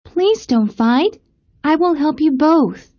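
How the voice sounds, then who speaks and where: A woman's voice: high-pitched, expressive, slow pace, lo-fi sound. A woman playing a kind, sorrowful young girl in a storybook, speaking in an earnest, wistful way. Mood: soothing. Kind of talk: storybook character acting.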